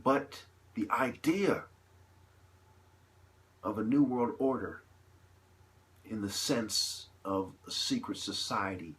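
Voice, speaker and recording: Low-voiced man in an emphatic monologue. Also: a bit bright. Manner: rhetorical questions, emphatic repetition, halting phrasing. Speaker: vlogger delivering an opinion monologue. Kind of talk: impassioned unscripted monologue.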